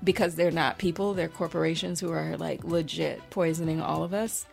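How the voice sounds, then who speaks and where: A woman's voice: low voice, steady tone, normal speed, creaky, darkish tone, soft background music. A woman chatting casually on a podcast in a blunt, sweary, sardonic way.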